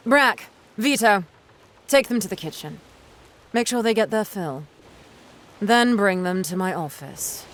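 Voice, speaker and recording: A woman's voice: mid-range voice, animated, a little slow, pauses often, natural voice. A woman playing an assertive but kindly pirate captain in an audio drama. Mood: commanding. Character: assertive, reasonably kind female pirate captain.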